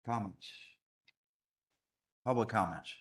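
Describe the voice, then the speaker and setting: A man chairing a council worksession, moving items along in a brisk, procedural way. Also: lowish voice, a bit bright. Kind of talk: running a council meeting.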